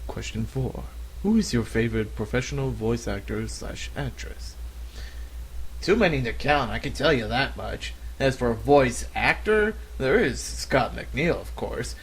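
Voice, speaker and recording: A man's voice: mid-range voice, very animated, unhurried, husky, low-quality recording. A man in his thirties with an American accent, an amateur voice actor giving a question-and-answer monologue on a cheap microphone. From United States. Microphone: cheap flexible desktop microphone.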